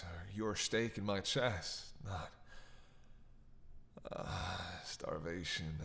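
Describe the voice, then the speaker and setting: A man playing a starving captive vampire in an audio roleplay, halting and breathy, weak, wry and desperate. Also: on the low side, a bit bright. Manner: halting, wry, intimate address to listener. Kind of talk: dramatic audio roleplay monologue.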